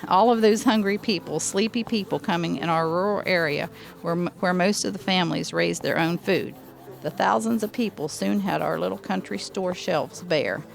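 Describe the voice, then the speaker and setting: A woman's voice: fairly low pitch, normal speed, vocal fry. A woman acting as a rural eyewitness, narrating her recollections in an audio drama. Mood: reflective. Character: woman recalling rural crash aftermath as eyewitness. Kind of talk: scripted first-person narration.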